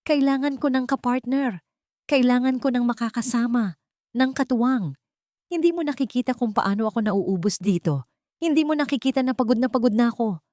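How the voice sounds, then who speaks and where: A woman's voice: a little high, brisk, dark tone, dry recording, clean studio sound, voice pushed up, natural voice. A woman voicing an exhausted, hurt wife and mother who pleads emotionally to be understood in an audio love story. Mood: upset, pleading.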